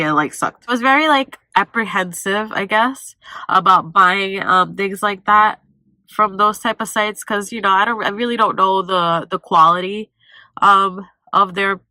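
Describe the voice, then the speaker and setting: A woman's voice: mid-range voice, unhurried, pushed and bright, dry recording. A young woman vlogging from a parked car, sounding stuffy and congested, rambling with lots of fillers and self-corrections. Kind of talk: casual vlog chat.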